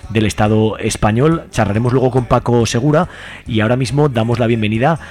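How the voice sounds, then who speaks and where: A man's voice: mid-range voice, fairly quick, a little mellow. A man with an accent from Spain presenting a radio show in an informal, conversational way with hesitant phrasing. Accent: Spanish (Spain). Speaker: radio host.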